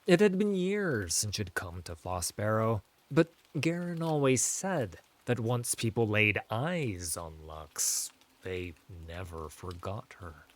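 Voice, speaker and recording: A man with a fairly low voice reads a fantasy tale. His scripted narration is very slow and measured, set off by dramatic pauses. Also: very animated.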